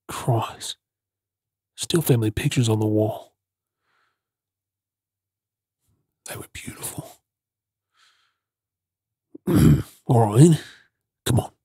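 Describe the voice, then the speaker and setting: An Australian man playing a gruff but caring zombie apocalypse survivor in a breathy, often whispered ASMR role-play. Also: mid-range voice, mellow, dry recording, studio quality. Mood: weary, gentle.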